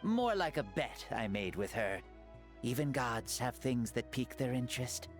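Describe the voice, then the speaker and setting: A man's voice: medium pitch, expressive, moderate pace, close to an everyday voice. An actor voicing a breezy, self-mocking ancient male hero spirit who is borrowing a boy's body, in a game. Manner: easygoing, self-mocking, playful. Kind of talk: game voice acting. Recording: game audio with music under.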